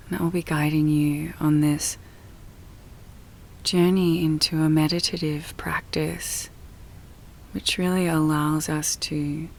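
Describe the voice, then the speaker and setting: Very slow, soft-spoken woman guiding meditation. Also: low voice. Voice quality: soft, breathy, often near-whisper. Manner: slow, soothing, with long pauses. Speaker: yoga nidra meditation guide. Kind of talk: guided relaxation meditation.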